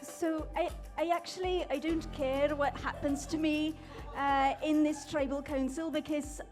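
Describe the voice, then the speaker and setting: A vain former child actor, played by a woman, talks in a put-on Scottish accent and keeps giggling at herself. Her voice rides high and sounds self-important. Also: fairly even intonation, a little slow, darkish tone, soft background music.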